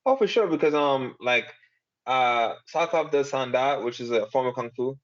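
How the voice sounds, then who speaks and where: A man's voice: medium pitch, animated, normal speed, dull audio. A young adult man, a fighter, giving casual interview answers in a rambling way, full of fillers and short laughs. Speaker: MMA fighter.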